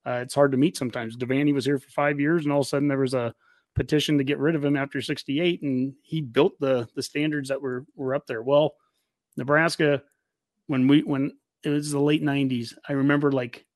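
A man's voice: mid-range voice, fairly even intonation, brisk, no room sound. An American man in his forties hosting a sports fan podcast in casual chat, rambling along enthusiastically. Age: 40s.

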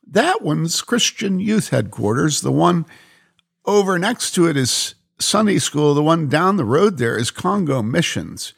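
A woman's voice: sits low, sing-song, measured pace, creaky, breathy edge, pitched above the performer's own voice, heavily acted. A man voicing a cheerful, outspoken young woman as he reads a story aloud. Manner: bubbly and outspoken.

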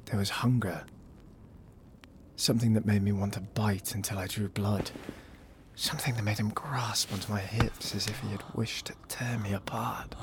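A man plays a proud, disgraced nobleman in a fantasy audio drama. His voice sits low and his delivery is theatrical and intense. There is some noise behind the voice. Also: natural voice. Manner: theatrical, intense, literary phrasing. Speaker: lead actor and narrator. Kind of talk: scripted audio drama narration.

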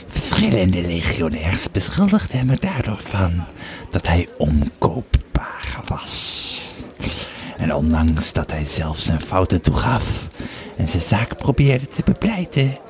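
A man playing a grieving Roman legionary who tells his memories haltingly and reflectively in an audio drama. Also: low voice, heavily acted. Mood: somber. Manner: halting, reflective storytelling with searching pauses. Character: grieving, fearful Roman legionary recalling his army days. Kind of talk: audio drama first-person narration.

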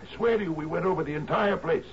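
An actor playing a troubled older sea captain in a 1970s radio drama, with a hesitant, stammering delivery. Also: male voice, on the high side, fast talker, close to an everyday voice. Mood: alarmed, disbelieving. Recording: muffled, noisy 1970s radio broadcast.

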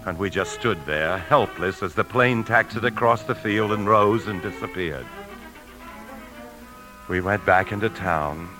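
An actor playing a tense, desperate embezzling husband in a 1950s radio drama, speaking with urgent, confessional intensity. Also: male voice, on the low side, measured pace, close to an everyday voice, low-quality recording. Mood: haunted, narrating. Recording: old radio broadcast, faint music.

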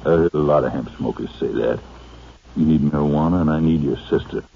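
Deep-voiced, menacing American thug. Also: male voice, brisk, vocal fry, breathy edge, exaggerated voice. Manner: curt, threatening, commanding. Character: menacing, bullying male thug and drug supplier. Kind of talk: 1950s American radio drama acting. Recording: muffled, noisy old 1950s radio recording.

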